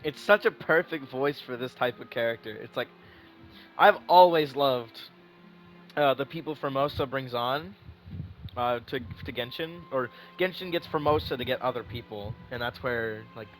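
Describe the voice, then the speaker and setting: A man's voice: mid-range voice, moderate pace, poor audio. Casual male gamer reacting, music behind. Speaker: video game streamer reacting to story. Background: game music.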